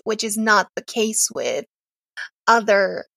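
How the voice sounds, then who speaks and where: Slow-talking young woman from Serbia. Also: medium pitch, animated, gravelly, bright, forceful tone, no room sound, rough recording. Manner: laughs often, hesitant with many ums. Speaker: podcast guest. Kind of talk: casual interview chat.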